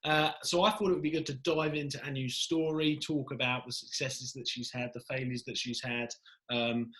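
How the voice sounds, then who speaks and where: A man's voice: mid-range voice, flat delivery, normal speed. A man hosting a video-call interview, greeting his guest cheerfully and asking her questions. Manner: upbeat, warm greetings, leads with questions. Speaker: host. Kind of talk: interview host.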